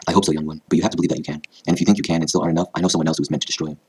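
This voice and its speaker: A man voicing a wise, gentle queen mother who gives formal advice in an anime fan story. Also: a bass voice, fairly even intonation, very fast, a little hiss or hum.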